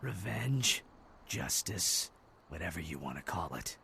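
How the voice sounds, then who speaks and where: A man's voice: low-pitched, sing-song, a little slow, creaky, sharp tone, a little hiss or hum, exaggerated voice. An actor voicing a bitter, vengeful father turned ruthless vigilante in a video game, seething, defiant and intense. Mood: grim vengeance. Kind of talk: dramatic video game voice acting.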